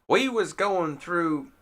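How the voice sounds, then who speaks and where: A man's voice: sits high, bright, forceful tone, pitched above the performer's own voice, full character voice. A man voicing a self-assured, half-informed hillbilly with a put-on Southern rural drawl. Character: folksy male hillbilly, boastful and half-informed.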